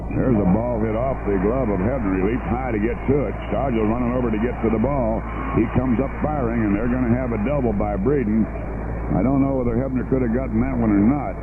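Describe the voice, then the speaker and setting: A man's voice: medium pitch, fast. A middle-aged American man calling baseball play-by-play on the radio in a folksy, conversational, rambling way. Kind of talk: live radio sports commentary. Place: stadium broadcast booth. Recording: muffled, noisy 1970s AM radio broadcast.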